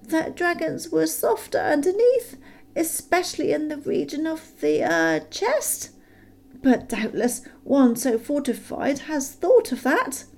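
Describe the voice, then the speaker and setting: A woman reading does a small, jittery hobbit lifted well above her own voice into a squeaky, eager tone. He gushes flattery quickly, his pitch jumping about as nerves show through. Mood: flattering.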